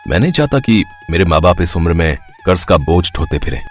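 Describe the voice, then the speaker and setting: Deep-voiced, kind young husband, acted by a man. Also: sing-song, brisk. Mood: earnest, generous. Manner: gentle, earnest. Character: kind, generous young husband and son-in-law. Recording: music behind the voice.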